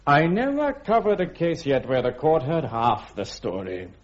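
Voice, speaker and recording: An actor playing a pushy, insinuating newspaper reporter, ingratiating and sly, in a radio drama. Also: male voice, on the high side, wildly swooping pitch, moderate pace, a little hiss or hum. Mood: sly, probing. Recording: dull lo-fi radio drama recording.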